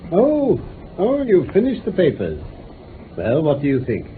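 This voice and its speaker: An actor playing a bluff, indignant doctor and detective's sidekick in a radio drama. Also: male voice, on the high side, wildly swooping pitch, unhurried. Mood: incredulous. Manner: blustery, incredulous. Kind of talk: 1960s British radio drama acting. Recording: tinny, band-limited old radio recording with hiss.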